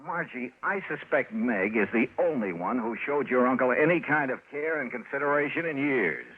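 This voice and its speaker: A hardboiled radio detective, wry and sure of himself. His medium-pitched voice moves animatedly in clipped phrases, on a dull old broadcast recording. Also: animated, moderate pace, breathy edge. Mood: probing, cool. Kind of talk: 1950s radio drama acting and narration.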